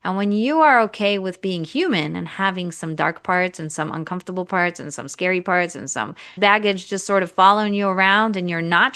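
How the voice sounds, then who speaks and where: Encouraging woman coach with a gravelly edge. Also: fairly low pitch, a bit bright, no echo, studio quality. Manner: earnest, encouraging, repetitive exhortations. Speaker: podcast host and marriage coach. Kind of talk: reflective coaching talk.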